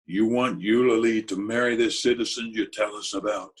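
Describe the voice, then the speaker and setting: An actor playing a gruff, commanding gangster boss, blunt and bossy, in old-time radio comedy style. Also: male voice, on the low side, steady tone, natural voice. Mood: stern. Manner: blunt, bossy, deliberate slangy talk.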